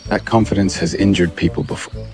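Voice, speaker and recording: Man as unflappable fairy tale king, deep bass register, terse, deadpan wit, animated film, clear music bed. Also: a little fast, natural voice. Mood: dry teasing. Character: wry, unflappable young king posing as a poor husband. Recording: music behind the voice.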